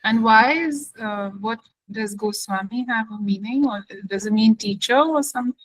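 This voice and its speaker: A woman's voice: fairly high pitch, normal speed, dry recording, poor audio. A woman asking questions as a student in a casual, hesitant, slightly apologetic way.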